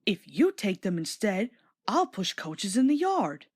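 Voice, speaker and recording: A woman voicing a kind little male steam engine in a children's story, gentle and soft-spoken. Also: medium pitch, big pitch swings, measured pace, breathy edge. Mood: kindly.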